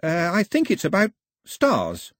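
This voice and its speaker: A man reading aloud and voicing a small, talkative young islander man who rushes and stammers. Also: high-pitched, huge pitch swings, no echo, studio quality, pitched above the performer's own voice, full character voice. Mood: eager.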